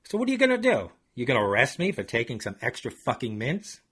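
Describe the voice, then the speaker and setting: A man's voice: medium pitch, huge pitch swings, fast, fairly bright tone, slightly rough, heavily acted. A man voicing a shifty, hot-tempered man who swears and gets defensive when caught lying. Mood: angry. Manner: defensive, foul-mouthed.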